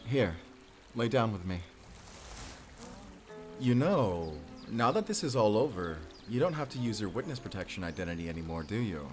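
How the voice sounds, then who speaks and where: An actor playing a devoted lover and gentle father, speaking softly and intimately in a cinematic audio roleplay. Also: on the low side, big pitch swings, a little slow, long pauses, soft background music. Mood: affectionate.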